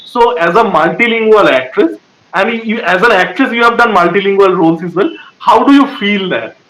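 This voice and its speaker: A man's voice: sits high, fairly quick, a bit bright, roomy sound, rough recording. A man from India hosting an interview, asking chatty questions and often adding "right?" to check in. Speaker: interviewer host.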